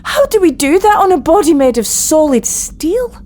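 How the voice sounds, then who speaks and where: An actress voices a caring, anxious woman medic in an audio drama. Her voice is high and very animated, full of worried questioning. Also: normal speed.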